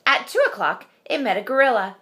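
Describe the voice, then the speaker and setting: A woman in her thirties reading a children's picture book aloud at home in front of a webcam, expressive and slowing for suspense. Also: on the high side. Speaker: storyteller. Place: home room with shelf.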